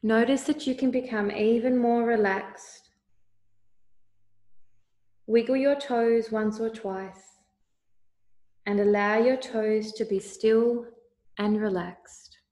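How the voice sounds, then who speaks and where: A woman leading a guided meditation with calm, soothing, deliberate instructions. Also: fairly high pitch. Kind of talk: slow guided meditation narration. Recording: roomy, echoey voice recording.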